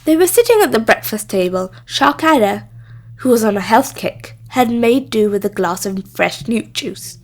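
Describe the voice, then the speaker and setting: High-voiced woman reading a children's story. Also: animated, normal speed. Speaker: storybook reader. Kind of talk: expressive scripted narration of a children's story.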